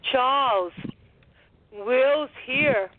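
A woman playing a gentle, maternal small-town mother in an amateur radio drama. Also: high-pitched, drawn-out words, narrow, tinny audio, a little hiss or hum. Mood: mock scolding.

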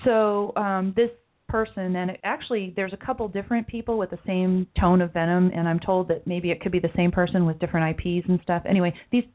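A woman's voice: on the low side, steady tone, fairly quick. A woman hosting a political talk podcast, with a light voice she calls mousy, earnest and often repeating words as she thinks aloud. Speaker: podcast host. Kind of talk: political talk show discussion. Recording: phone-like lo-fi audio.

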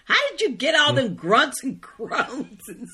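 A woman with a slightly high voice co-hosts a casual podcast. She speaks in a gushing, exclamatory way, full of short emphatic reactions. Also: animated, measured pace, over a noisy crowd. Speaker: podcast co-host. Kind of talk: casual chat.

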